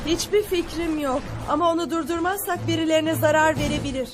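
Lady doing a bossy no-nonsense cartoon heroine, super high voice, music behind. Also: a little fast, lightly acted. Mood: exasperated. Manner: commanding, sharp-tongued. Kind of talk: dubbed cartoon acting.

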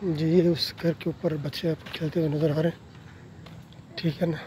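A Pakistani man with an Urdu accent narrating a walking tour of a quiet residential street, politely pointing out houses as he films on his phone. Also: fairly high pitch, little pitch movement, a little hiss or hum, low-quality recording. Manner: polite, unhurried tour-guide commentary with frequent "ji" and "theek hai na". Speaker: travel vlogger. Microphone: handheld phone camera while walking.

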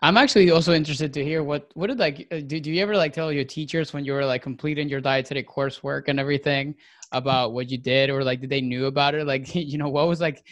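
A man's voice: on the high side, steady tone, fast talker, fairly bright tone, dry recording. A young man hosting a podcast, asking long rambling questions full of fillers into a studio mic in a small room at home. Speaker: podcast host. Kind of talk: casual interview questions. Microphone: studio mic on a boom arm, with headphones.

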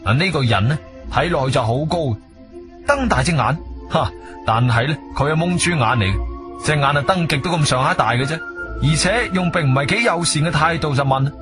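A man's voice: on the high side, lively intonation, brisk. A man with a Guangzhou Cantonese accent narrating a radio drama as a conversational storyteller, dropping in interjections. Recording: music bed behind studio voice.

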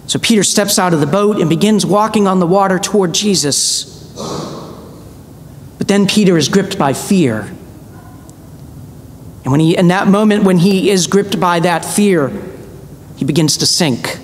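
A middle-aged male priest giving a measured, earnest sermon from a church pulpit, pressing on key words with slow emphasis. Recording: reverberant church with faint rumble.

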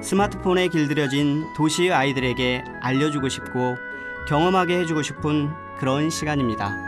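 A man narrating a travel documentary in a gentle, reflective storytelling voice. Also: fairly high pitch, normal speed. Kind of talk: scripted voice-over narration. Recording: voice-over with music behind. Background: background music.

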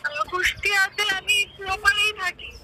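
A woman's voice: very high voice, normal speed, sharp tone, slight background noise. A young woman with a Bangladeshi accent chatting casually over a phone call on speaker. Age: young adult. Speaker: prank call recipient.

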